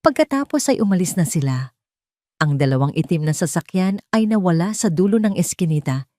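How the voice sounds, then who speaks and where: Low-toned woman narrating a dramatic story. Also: animated, brisk, mellow, no room sound.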